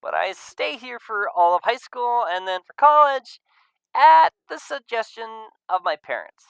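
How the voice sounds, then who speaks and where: Animated, high-pitched young furry guy, acted by a man. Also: a little slow, gravelly, clear, resonant tone, no room sound, heavily acted, rough recording. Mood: sarcastic. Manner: animated, exclamatory. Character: upbeat young adult male furry friend, warm, sarcastic.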